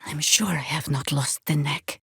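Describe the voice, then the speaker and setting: An actress playing a stern, battle-hardened older druid heroine in a fantasy video game, dry, sardonic and commanding in delivery. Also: female voice, sits low, moderate pace, sharp tone, breathy edge, dry recording, full character voice. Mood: terse, wry.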